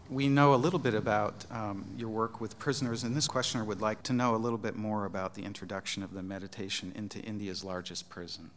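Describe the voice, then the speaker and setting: A man moderating, reading written audience questions aloud in a measured way. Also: fairly low pitch, steady tone, a bit bright, slight background noise.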